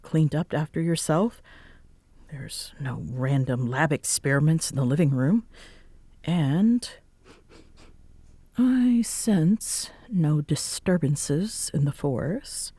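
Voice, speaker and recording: A woman acting a guarded, grudgingly warm and easily flustered young tsundere roommate in a roleplay audio drama, with halting, wry delivery. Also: deep voice, slightly rough, dry recording, full character voice. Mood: grudgingly warm, teasing.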